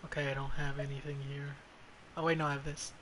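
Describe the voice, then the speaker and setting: A fairly high-voiced man streams a game with clear noise behind him. He talks casually and bluntly, swearing freely and muttering his thoughts aloud. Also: little pitch movement, fast, fairly bright tone. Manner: blunt, foul-mouthed, mutters to self. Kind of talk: casual gameplay commentary. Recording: clearly noisy background.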